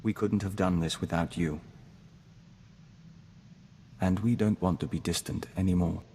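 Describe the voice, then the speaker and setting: An actor playing a gentle, earnest man joined to an insect hive mind, measured and formal in scripted game dialogue. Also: low-pitched, steady tone. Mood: soft, sincere. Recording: noisy game capture with long reverb.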